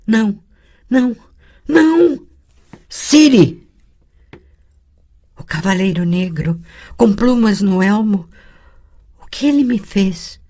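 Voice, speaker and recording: A man's voice: high voice, measured pace, dark tone, voice pushed up. A man reading an audiobook and voicing a young girl in a trance. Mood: frantic. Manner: screaming in panic. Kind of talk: audiobook character voice.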